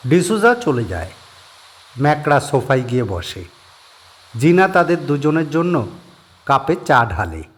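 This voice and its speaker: A male narrator reading a scripted horror story in a steady, descriptive way. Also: on the high side, measured pace, long pauses, slight background noise.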